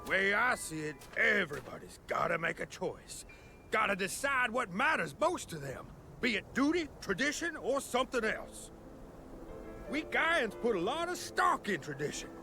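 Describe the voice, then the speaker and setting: A man's voice: high-pitched, sing-song, moderate pace, vocal fry, exaggerated voice. A man voicing a folksy, easygoing villager storyteller in a video game cutscene, with a drawl. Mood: folksy explaining. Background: background music.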